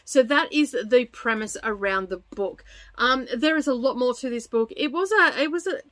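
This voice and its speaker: A woman's voice: fairly high pitch, expressive, moderate pace, fairly bright tone, no room sound. A middle-aged woman hosting a book channel, talking casually and chattily to her viewers. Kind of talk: casual chatty vlog presenting.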